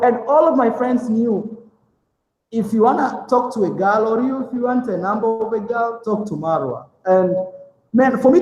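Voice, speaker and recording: A man's voice: high-pitched, a little mellow. A young man, a guest telling stories in a casual interview, rambling with lots of fillers and restarts. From Kenya. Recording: echoey room with long reverb.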